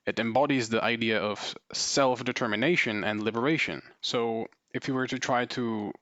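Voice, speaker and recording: A man's voice: medium pitch, normal speed, fairly bright tone, no room sound, highs cut off. A man presenting a video essay, explaining his points off the cuff with many fillers and tag questions. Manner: thinking aloud with many fillers and "right?" tags. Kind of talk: unscripted explanatory talk.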